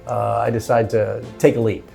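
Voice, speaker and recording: A man's voice: fairly low pitch, level tone, moderate pace, vocal fry, warm tone, soft background music. A middle-aged man, a hotel executive born in Cuba and raised in Miami, talking easily through his career in an interview, with "you know" and "uh" along the way. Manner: easygoing, sprinkles "you know" and "uh". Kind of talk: relaxed conversational storytelling.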